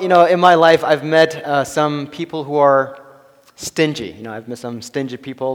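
A man in his forties preaching a sermon to his church, halting with many fillers and restarts and chuckling between phrases. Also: medium pitch. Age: 40s. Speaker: pastor. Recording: long hall reverb.

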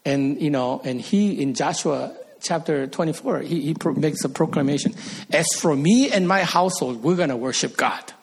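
A man's voice: fairly high pitch, moderate pace, gravelly, slightly rough. A middle-aged man from Korea, preaching an earnest sermon as a pastor and rising to emphatic peaks.

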